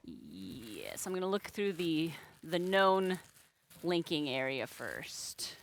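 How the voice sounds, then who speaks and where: A woman's voice: deep voice, very animated, slow pace, creaky, a little hiss or hum. A woman chatting casually on a live stream in a playful, tongue-in-cheek, self-mocking manner. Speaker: balloon artist live-streamer.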